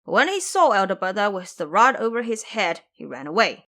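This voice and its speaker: A woman voicing a blunt, complaining male pig-man warrior monk in an audio drama, loud in manner. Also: mid-range voice, very animated, fairly quick. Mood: blunt, earnest. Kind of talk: audio drama character acting.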